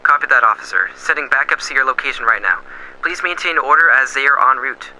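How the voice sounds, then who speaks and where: A man playing a calm police dispatcher giving orders over the radio. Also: medium pitch, fast, noisy background, lightly acted. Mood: steady. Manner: crisp, procedural radio talk. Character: calm male police dispatcher, steady and procedural. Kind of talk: amateur radio drama acting.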